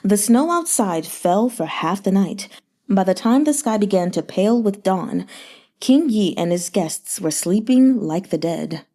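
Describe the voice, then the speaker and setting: A woman's voice: medium pitch, expressive, unhurried, dark tone. A woman reading a novel aloud expressively, sometimes adding brief asides of her own. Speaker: audiobook reader.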